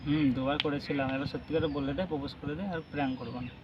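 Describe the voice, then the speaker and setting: A man's voice: a little high, fairly even intonation, very fast. A young man chatting casually on a phone call, teasing, coaxing and pressing with questions. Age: young adult. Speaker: vlogger making a prank phone call. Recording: noisy with birds squawking behind. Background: parrot squawks and ambient noise.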